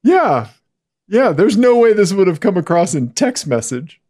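High-voiced, giggly man on a podcast. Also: a little slow, no room sound, clean studio sound. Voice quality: high-pitched voice. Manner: giggly, animated, voice jumps high when excited. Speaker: podcast co-host. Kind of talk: casual podcast chat.

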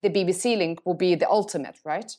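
A middle-aged woman speaking English, answering interview questions thoughtfully and often pausing to rephrase. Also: fairly low pitch, dark tone. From Norway. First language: Norwegian. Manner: thoughtful, pauses to search for words and rephrases. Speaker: agency CEO, guest.

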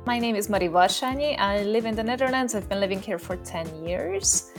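A woman whose first language is Hungarian, an educational consultant, introducing herself calmly over an online call. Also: medium pitch, fairly quick, faint music behind. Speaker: educational consultant, guest panellist. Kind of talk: casual self-introduction.

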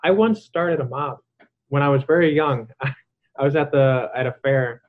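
A man's voice: medium pitch, moderate pace. A man hosting a podcast interview, rambling in casual chat with many fillers and restarts. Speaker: podcast host. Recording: tinny phone-like line.

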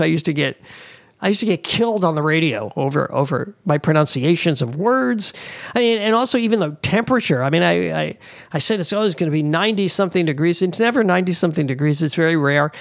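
Gravelly East Coast man giving tips. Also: high-pitched, no room sound, clean studio sound. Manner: rambling, many fillers and restarts. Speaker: podcast host. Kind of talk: casual advice talk.

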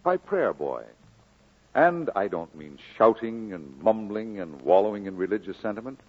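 An actor playing a kindly, earnest, encouraging minister in an old radio drama, exhorting in a solemn, measured way. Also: male voice, fairly low pitch, sing-song, slightly rough. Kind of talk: 1940s radio drama acting. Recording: muffled old radio broadcast.

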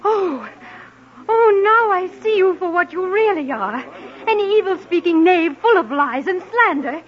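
High swooping voice, proud young noble maiden, haughty, theatrical radio acting, dull old recording. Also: female voice, big pitch swings, a little slow, exaggerated voice. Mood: indignant. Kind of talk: mid-century radio adventure acting.

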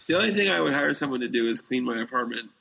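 A man with a mid-pitched voice and plenty of vocal fry chats as a podcast co-host. He is casual and low-key, with a skeptical way of talking. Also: lively intonation, racing, pushed and bright, rough recording. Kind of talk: casual chat about sci-fi TV shows.

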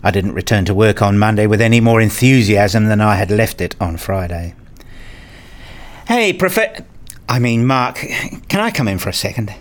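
A man's voice: on the low side, expressive, moderate pace, natural voice. A man acting in a radio play as a weary, wryly self-mocking academic father who narrates his own story. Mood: weary, reflective. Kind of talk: first-person narration and acted dialogue.

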